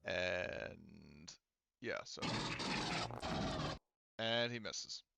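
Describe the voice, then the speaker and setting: A man's voice: deep voice, expressive, very slow, vocal fry, muffled recording, heavy background noise. A man running a tabletop game as game master, narrating casually with swearing and jokes. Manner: sweary, joking.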